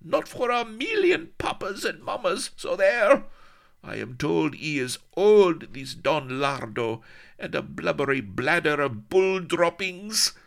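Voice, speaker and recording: A man voicing a haughty, hot-tempered teenage Spanish noblewoman with a put-on Spanish accent, shrill and theatrical. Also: sharp tone.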